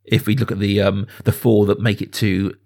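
A man's voice: lowish voice, little pitch movement, moderate pace, vocal fry, a little mellow. A man hosting a casual film discussion podcast, explaining things in thoughtful, enthusiastic detail. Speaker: podcast host.

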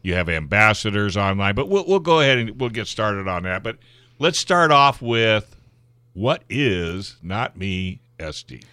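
A man hosting a talk radio show, friendly and a little halting as he introduces his guests. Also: lowish voice, sing-song, pushed and bright, no echo. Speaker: radio host.

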